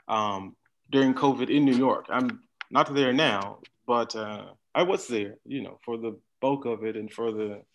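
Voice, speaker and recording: A man on a video call speaks at a middle pitch in a relaxed, conversational way. His sentences drift and trail off as he circles his point. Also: unhurried, lo-fi sound. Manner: casual, loose, trailing phrases. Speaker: panel guest. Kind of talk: panel discussion over video call.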